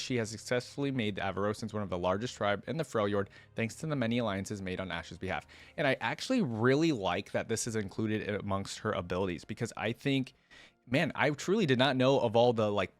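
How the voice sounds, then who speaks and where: A man's voice: on the low side, lively intonation, a little fast. A man hosting a reaction video, reading lore aloud in an informal way and adding his own casual commentary. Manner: informal, interjects own thoughts while reading.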